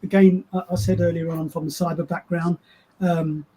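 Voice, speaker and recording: A man's voice: fairly high pitch, level tone, fairly quick, dark tone, slight background noise. A man speaking as a panelist, hesitant and full of restarts and repeated words. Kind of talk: panel discussion.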